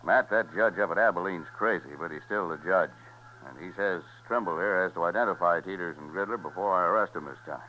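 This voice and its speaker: Casting: man playing blunt frontier gunfighter lawman, lowish voice, hearty, muffled old radio recording. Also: brisk, a little hiss or hum, close to an everyday voice. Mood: determined. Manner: blunt, folksy. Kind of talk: 1950s radio western acting.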